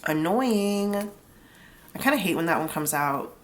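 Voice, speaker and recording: A woman's voice: lowish voice, lively intonation, unhurried, slight background noise. A young woman with a stuffed-up nose from a cold, chatting casually through a tarot reading and explaining each pull with lots of "like". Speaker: tarot reader.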